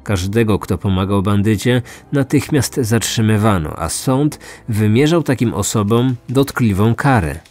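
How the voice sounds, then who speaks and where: A male true crime narrator with a fairly low voice. He reads a scripted story seriously and with measure, over faint music. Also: expressive, unhurried, few pauses, a little mellow. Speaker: narrator, host.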